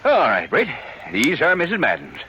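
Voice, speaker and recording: Flustered, high-voiced folksy fair official. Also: male voice, wildly swooping pitch, normal speed, noisy background. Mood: genial, bustling. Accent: American. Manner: folksy, fussing, stammering. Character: flustered, folksy small-town man running a county fair contest. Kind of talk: 1950s radio western acting. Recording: dull vintage radio recording with crowd.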